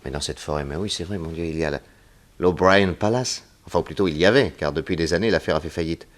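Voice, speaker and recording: An actor playing a shrewd, cheerful detective who thinks aloud in a 1960s French radio serial. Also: male voice, low voice, fast talker, natural voice. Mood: musing. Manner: musing aloud, thinking things through. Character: shrewd, confident male detective, eager and cheerful.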